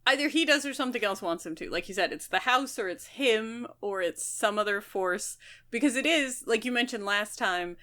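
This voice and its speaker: A woman's voice: fairly high pitch, expressive, normal speed, bright, forceful tone. Analytical woman co-hosting a casual book podcast. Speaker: podcast co-host.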